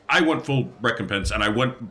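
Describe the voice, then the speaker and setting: A man playing a tabletop role-playing game, haltingly thinking aloud as he slips in and out of character. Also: mid-range voice, lively intonation, bright, forceful tone. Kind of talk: casual in-character role-play and table talk.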